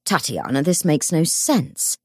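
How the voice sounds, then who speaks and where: A woman's voice: low-pitched, very animated, unhurried, darkish tone, slightly rough, no room sound, studio quality, heavily acted. A woman voicing a sensible man in an audiobook, firm and questioning. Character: sensible man challenging his sister's claims.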